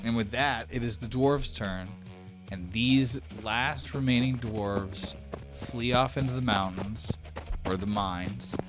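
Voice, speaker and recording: A man's voice: mid-range voice, takes it slowly. A man running a tabletop fantasy game as game master, narrating turns and calling results. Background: music.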